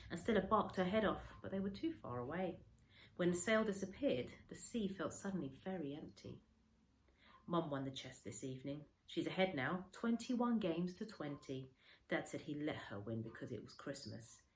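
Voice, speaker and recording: Female storyteller, slightly low voice, dramatic schoolteacher read-aloud for children, recorded in a noticeably echoey room.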